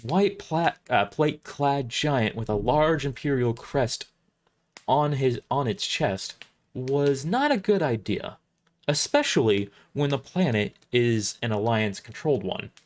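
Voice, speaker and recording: A man reading first-person dramatic narration for an audio drama, somewhat halting, often restarting his lines. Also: medium pitch, animated.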